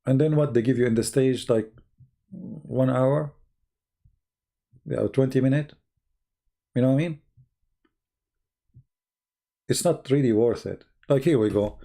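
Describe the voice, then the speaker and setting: A man who speaks English as a second language, chatting casually and telling stories on a live stream in a halting, rambling way, often clearing his throat. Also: medium pitch, warm tone. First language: not English.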